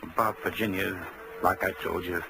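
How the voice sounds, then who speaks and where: An actor playing a nervy, wheedling American ex-convict in 1940s radio drama style, slangy and hesitant. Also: male voice, low voice, steady tone, normal speed, gravelly, over music. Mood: nervous explaining. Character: nervy, pleading, fast-talking male ex-convict crook. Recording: noisy old radio broadcast.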